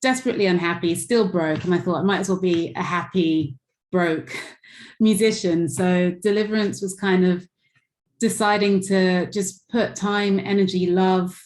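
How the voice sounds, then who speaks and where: A woman's voice: fairly low pitch, steady tone, moderate pace, darkish tone, roomy sound. A woman musician on a call, chatting about her music with many fillers and little laughs. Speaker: musician guest. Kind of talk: casual chat on a call. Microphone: video call.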